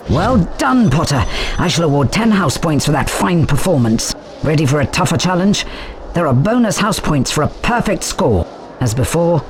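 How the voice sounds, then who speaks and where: A woman voicing a strict, brisk British witch who teaches flying in a video game, crisp and authoritative. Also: low voice. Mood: commanding. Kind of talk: scripted video game instruction. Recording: noisy game sound behind voice.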